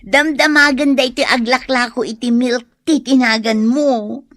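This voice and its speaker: An actress playing a supportive, bossy young best friend with an Ilocano accent in a comedy radio drama, emphatic and scolding. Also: female voice, high-pitched, fairly even intonation, unhurried, a bit bright, dry recording, studio quality. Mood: brisk, exasperated.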